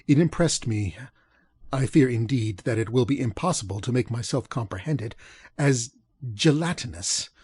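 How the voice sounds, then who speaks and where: A man narrating a gothic horror audiobook in a measured, formal, deliberate manner. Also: medium pitch, a little mellow, slightly rough, no echo.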